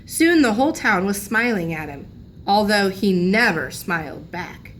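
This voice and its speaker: A woman's voice: medium pitch, animated, a little slow, a bit bright, slight background noise. A woman reading a children's storybook aloud like a teacher, expressively, lingering on key phrases for emphasis.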